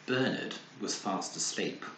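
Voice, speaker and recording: A man reading a children's story aloud expressively, with dramatic pauses and acted sound words. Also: on the low side, expressive. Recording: roomy echo, faint background noise.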